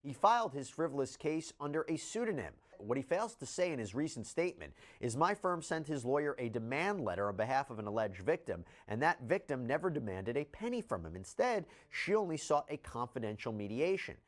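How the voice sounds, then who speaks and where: A man's voice: mid-range voice, big pitch swings, fairly quick, hardly any pauses. A man delivering emphatic, explanatory legal news analysis, like a commentator.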